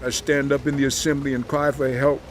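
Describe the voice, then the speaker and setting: An actor playing a suffering righteous man in a dramatized audio Bible, with solemn, impassioned, measured delivery. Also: medium pitch, flat delivery, slight background noise, natural voice. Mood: anguished, pleading.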